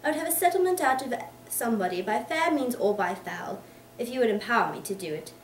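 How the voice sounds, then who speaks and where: A woman voicing a hearty, explosive, blustering older English gentleman in an emphatic, theatrical reading of a Victorian novel. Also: fairly high pitch, expressive, fast talker, lightly acted. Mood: forceful. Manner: emphatic, theatrical declaiming in long tirades. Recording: echoey room, distant mic, faint noise.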